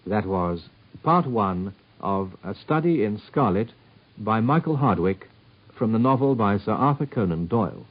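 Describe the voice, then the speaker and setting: A man's voice: on the low side, animated. Formal male radio announcer. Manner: measured, formal. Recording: lo-fi old radio recording.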